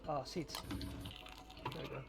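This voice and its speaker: A man chatting casually as a podcast host, rambling and stammering with repeated words. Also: unhurried. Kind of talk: casual chat over gameplay. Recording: clearly noisy background.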